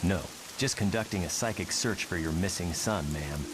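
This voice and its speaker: Deep, polite mutant scientist played by a man, hissy. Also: normal speed, close to an everyday voice. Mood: courteous. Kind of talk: motion comic voice acting. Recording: hissy track with rain noise.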